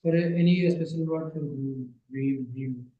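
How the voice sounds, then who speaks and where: A man teaching Sanskrit grammar in an online class, patient and repeating phrases slowly, his English not his first language. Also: fairly high pitch, roomy sound, dull audio.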